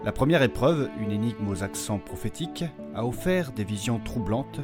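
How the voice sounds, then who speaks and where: A man's voice: fairly low pitch, animated, normal speed. A man reading a scripted narration, recapping a fantasy audio drama. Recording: loud music bed. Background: music.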